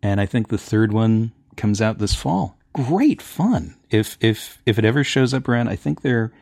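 A middle-aged man chatting casually on a panel, telling things in an enthusiastic, halting way. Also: fairly low pitch, expressive, vocal fry, darkish tone, no room sound, clean studio sound.

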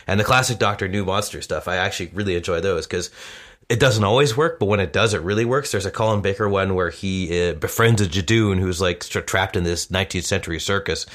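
A man's voice: low-pitched, fairly bright tone. A man who is a podcast guest, chatting casually in an informal, rambling way.

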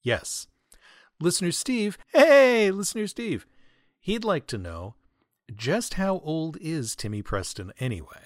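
A man's voice: fairly high pitch, sing-song, a little slow, no echo, clean studio sound. A man hosting a podcast, reading scripted comic listener mail in a radio announcer manner, mock-earnest and dryly wry. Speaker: podcast host.

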